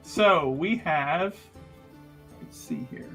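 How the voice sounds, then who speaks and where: A man's voice: fairly high pitch, lively intonation, drawn-out words, pushed and bright, breathy edge, soft background music. A man chatting casually as a player in a tabletop roleplaying game. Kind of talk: casual actual-play game chat.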